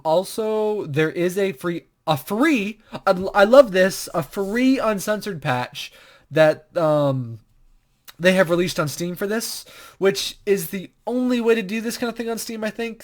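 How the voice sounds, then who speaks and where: Let's play host, medium pitch, casual gaming commentary, chatty, self-deprecating. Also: a little mellow. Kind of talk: casual gaming commentary and reading game text aloud.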